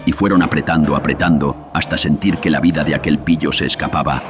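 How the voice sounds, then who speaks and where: Very deep male horror narrator. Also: steady tone, a little fast, music in the background. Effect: processed voice filter. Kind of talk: dramatic horror radio drama narration.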